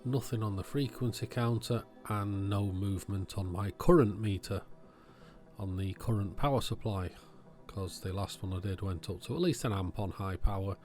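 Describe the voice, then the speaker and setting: A man's voice: fairly low pitch, normal speed, darkish tone, faint music behind. A man giving informal, matter-of-fact commentary on an electronics repair, thinking aloud as he works. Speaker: electronics repair video host.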